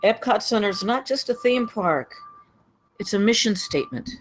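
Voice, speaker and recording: Man reading as a high-voiced serious executive. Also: animated, moderate pace, slight background noise. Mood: serious, cautioning. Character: serious, demanding male executive, guardedly supportive. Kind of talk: screenplay table read.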